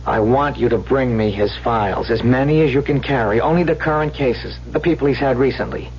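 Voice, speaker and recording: An actor playing a small-time swindler pleading with his sweetheart in an old radio drama, speaking in halting, repetitive street slang. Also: medium pitch, darkish tone, natural voice. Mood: urgent, coaxing. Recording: dull, hissy vintage radio recording with hum.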